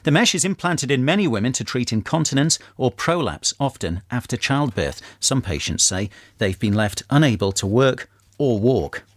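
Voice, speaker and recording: A man's voice: medium pitch, lively intonation, normal speed, no room sound, clean studio sound. A man reading a scripted radio news bulletin as the newsreader.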